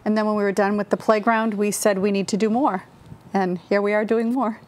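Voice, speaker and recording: Relaxed woman, studio guest. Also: medium pitch, a little fast. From Massachusetts. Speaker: guest, nonprofit cofounder. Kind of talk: interview answers.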